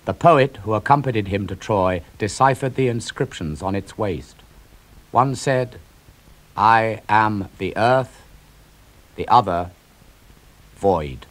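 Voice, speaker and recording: Slow grave older man reading epic verse. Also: fairly low pitch, low-quality recording. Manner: slow, grave and declamatory, sweeping up for climaxes. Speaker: narrator reading poetry. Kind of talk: dramatic poetry reading.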